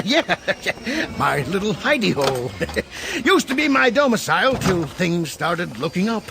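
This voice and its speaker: A man's voice: on the high side, creaky, background noise, heavily acted. A man playing a friendly old rogue trader in a space opera audio drama, folksy, rambling and given to nervous chuckles. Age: older.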